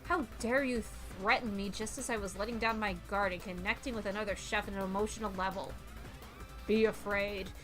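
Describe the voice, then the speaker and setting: A woman's voice: mid-range voice, fairly even intonation, a little fast, a bit bright, faint music behind, natural voice. A woman voicing a theatrical, menacing spork monster from a visual novel in a declamatory, mock-threatening way.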